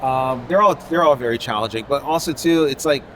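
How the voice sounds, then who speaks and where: A man's voice: medium pitch, brisk, fairly bright tone, slight background noise, rough recording. Easygoing man from Haiti, rambling chat. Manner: loose and rambling, leaning on filler phrases. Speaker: artist. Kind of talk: casual interview. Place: outdoor art fair in a city.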